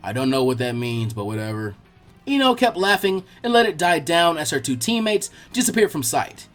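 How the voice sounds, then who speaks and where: A man narrating fan fiction from a script, telling the story in an animated way. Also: high voice.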